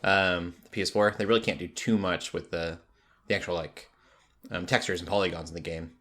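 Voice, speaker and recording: Low-pitched man chatting casually about gaming. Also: fairly even intonation, brisk, fairly bright tone. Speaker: podcast co-host. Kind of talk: casual gaming news chat.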